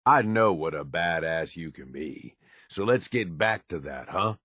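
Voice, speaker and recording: An actor playing a jaded, foul-mouthed middle-aged raider sidekick in a video game, with a gravelly American voice and a gruff, blunt way of talking. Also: male voice, animated, unhurried, bright, forceful tone, dry recording, clean studio sound, heavily acted. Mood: concerned, frank. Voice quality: husky, gravelly low voice. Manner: gruff, blunt, folksy tough talk. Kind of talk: video game companion dialogue.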